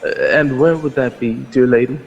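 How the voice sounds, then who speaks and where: An actor playing a proud, stern army lieutenant with a sardonic edge in a radio drama. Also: male voice, mid-range voice, normal speed, highs cut off, natural voice. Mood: stiffly courteous. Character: proud, threatening army lieutenant loyal to the governor. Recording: long hall reverb, music underneath.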